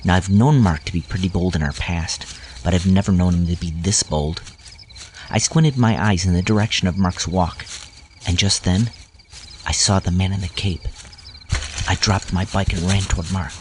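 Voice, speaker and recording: A man's voice: sits low, normal speed, a little hiss or hum, lightly acted, low-quality recording. A man playing a grown-up narrator who recalls a scary boyhood adventure in earnest, dramatic first-person storytelling. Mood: reflective, suspenseful.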